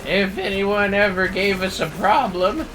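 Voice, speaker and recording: A man's voice: high-pitched, measured pace, noisy background, pitched above the performer's own voice. A man voicing a grumbling, nostalgic old-timer in an exaggerated, indignant manner during a game stream. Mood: nostalgic, boastful. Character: grumbling nostalgic old-timer reminiscing about tougher days.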